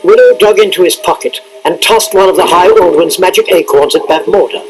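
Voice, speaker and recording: A man giving dramatic scripted narration for a children's read-along cassette, over loud music and effects. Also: high voice, brisk, rough recording. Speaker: storybook narrator.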